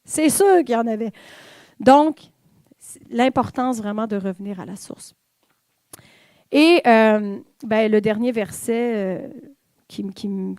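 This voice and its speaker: A woman's voice: medium pitch, a little mellow, dry recording, studio quality. A woman with a Québécois French accent giving an informal, conversational sermon in church, with fillers and the odd whispered aside. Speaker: church speaker.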